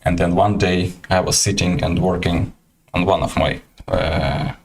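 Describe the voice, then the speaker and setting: Ukrainian-accented male host, very low voice, halting casual livestream chat. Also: level tone, creaky. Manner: halting, many fillers and restarts.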